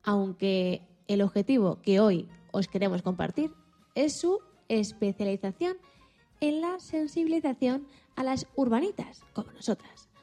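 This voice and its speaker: A woman co-host with a fairly high voice. She reads scripted radio segments, with light music playing underneath. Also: expressive, a little slow, dark tone.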